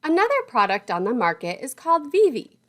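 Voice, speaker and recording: A woman delivers a scripted technical lesson in a fairly high voice. Her pitch moves in a lively way from phrase to phrase. The wording is formal and the terms are spoken with care, as a lecturer would. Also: fairly quick. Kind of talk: scripted instructional narration.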